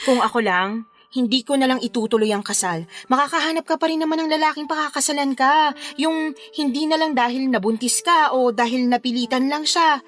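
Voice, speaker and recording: Actress as a caring confidante wedding planner, filipino radio drama, highish voice, earnest and advising. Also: female voice, brisk, faint music behind, lightly acted.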